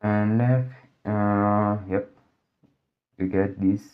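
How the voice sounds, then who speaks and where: A man with a low voice, a native Portuguese speaker, talks through a physics problem very slowly. He thinks aloud and restarts his sentences often. The audio is dull and lo-fi. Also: steady tone. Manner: halting, thinks aloud with many restarts and fillers. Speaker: physics tutor. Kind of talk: working through a problem on a board.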